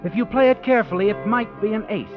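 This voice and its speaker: Actor as sinister, high-pitched male radio narrator. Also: fast talker, natural voice. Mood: taunting, ominous. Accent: American. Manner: insinuating, needling, dramatic. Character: the Whistler, sinister all-knowing male narrator addressing a guilty woman. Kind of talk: 1940s radio suspense narration. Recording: dull vintage 1940s radio recording. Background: dramatic music.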